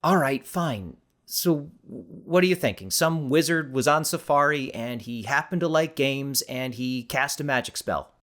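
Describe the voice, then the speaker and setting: A man's voice: mid-range voice, unhurried, few pauses, a bit bright, voice pushed up, lightly acted. A man playing a skeptical, easily exasperated eighteen-year-old boy in an audiobook, sardonic and defensive, hesitant when cornered.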